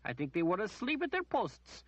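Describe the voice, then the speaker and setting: A man voicing a haughty, shrill noblewoman who shrieks and whines petulantly. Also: huge pitch swings, normal speed, a bit bright, dry recording, heavily acted. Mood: imperious.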